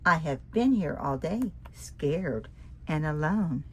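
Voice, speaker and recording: A woman voicing a small, sweet male starfish, speaking in a high, pleading little voice. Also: expressive, slow pace, breathy edge, heavily acted.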